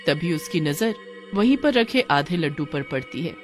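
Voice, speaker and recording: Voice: mid-range voice, lively intonation, normal speed, faint music behind. A narrator reading a scripted horror story in a dramatic storytelling style.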